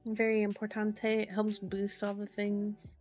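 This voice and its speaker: A woman streamer talks in a sleepy, cutesy way at a very unhurried pace. She rambles casually to her viewers in an ASMR style, with playful little phrasings. Music plays behind her voice. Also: medium pitch, monotone, darkish tone. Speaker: streamer hosting an ASMR book reading.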